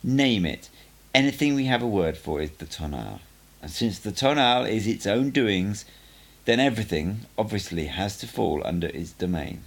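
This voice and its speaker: A man voicing a male sorcerer teacher in an audiobook, cutting and assured, explaining mysteries in a deliberate, didactic and emphatic way. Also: on the low side, animated. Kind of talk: audiobook character dialogue.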